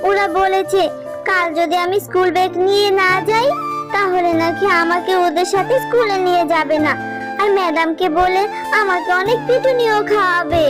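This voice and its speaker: A woman's voice: extremely high pitch, moderate pace, loud music behind the voice. A woman voicing a little fox girl in a children's cartoon, a whiny, pleading, sulky daughter with a childlike manner.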